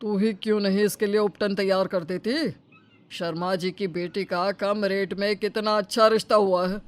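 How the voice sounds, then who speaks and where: A man playing a dismissive, blunt middle-aged father in an animated Hindi moral story. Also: sits high, moderate pace, clean tone, natural voice. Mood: grumbling.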